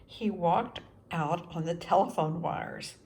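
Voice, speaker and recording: A woman reading a children's story aloud as scripted narration, expressive and with deliberate pauses for young listeners. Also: on the low side, vocal fry. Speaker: storybook reader.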